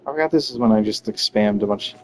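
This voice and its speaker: A woman streamer giving casual, slangy reactions to a game, excitable and prone to swearing. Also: a bass voice, fast talker, a little hiss or hum. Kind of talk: casual live gameplay reaction.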